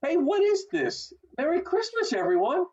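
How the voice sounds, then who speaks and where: A woman's voice: very high voice, big pitch swings, normal speed, darkish tone, exaggerated voice. A woman playing an emotional young family man in an amateur radio play, recorded over a video call. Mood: overjoyed. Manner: emotional, exclamatory. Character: George, desperate, then joyful young family man. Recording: muffled video-call audio.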